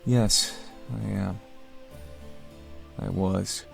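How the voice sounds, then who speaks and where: Gentle young demon prince, very low voice, burdened by guilt, visual novel game, music behind. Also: male voice, steady tone, drawn-out words, vocal fry, full character voice. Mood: somber.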